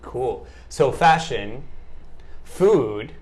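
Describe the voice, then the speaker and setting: A woman's voice: extremely low pitch, expressive, very slow, a little mellow, slight background noise. A woman hosting a casual interview, joking and teasing her guest and laughing often. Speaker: podcast host.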